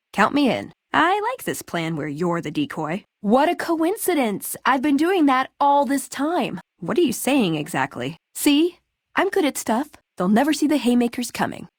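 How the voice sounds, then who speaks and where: A woman's voice: a little high, no echo, clean studio sound. An American actress playing a spirited, sarcastic young woman, a scrappy scavenger and brawler companion in a video game. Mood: excited. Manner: sarcastic, playful, quippy. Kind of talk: video game dialogue acting.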